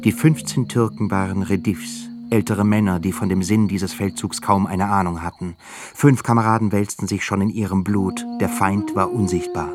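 A man delivers scripted literary narration for a radio drama. His voice is low and grave, with deliberate phrasing. Prominent music plays throughout behind him. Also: steady tone.

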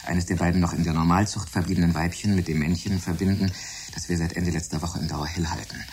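An actor playing an eccentric, fussy, polite laboratory scientist in a German radio drama, absorbed and precise. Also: male voice, sits low, fairly even intonation, fast talker, darkish tone, a little hiss or hum. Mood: businesslike.

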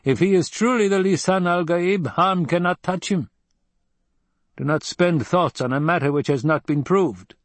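Man voicing a proud, high-pitched desert warrior. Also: moderate pace, dry recording, close to an everyday voice. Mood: matter-of-fact, proud. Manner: matter-of-fact, casual about battle. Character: proud male desert warrior, calm, blunt, fiercely eager. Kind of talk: audiobook character dialogue.

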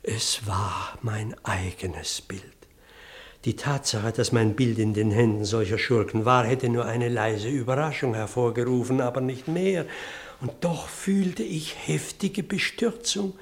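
A man's voice: mid-range voice, natural voice. Guy acting as a fussy old-fashioned parson in a radio play, dramatic, gets all flustered. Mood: horrified. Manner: fussy, dramatic, halting when agitated. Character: flustered, pedantic English parson recounting his abduction. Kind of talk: German radio play storytelling.

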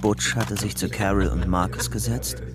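A man's voice: lowish voice, fairly even intonation, moderate pace, very noisy, natural voice. An actor playing a guarded, tense young man on the run in a German radio crime drama, understated in delivery. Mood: sombre narration. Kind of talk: German radio crime drama, acting and first-person narration.

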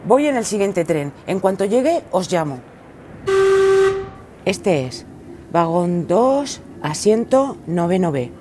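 Radio drama: excitable, scatterbrained young activist played by a woman, Spain accent, flustered slangy chatter, faint background noise. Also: on the low side, slow, long pauses. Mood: sheepish. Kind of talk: radio drama acting, colloquial.

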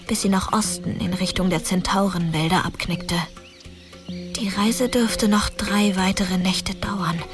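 A woman's voice: mid-range voice, close to an everyday voice. An actress playing a pensive traveller in a fantasy radio drama, narrating her journey reflectively.

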